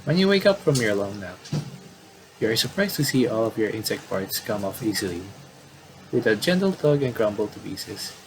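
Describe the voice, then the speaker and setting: A man's voice: lowish voice, big pitch swings, moderate pace, background noise. A man commentating on a game playthrough, casually reading story text aloud and often stumbling and rereading phrases.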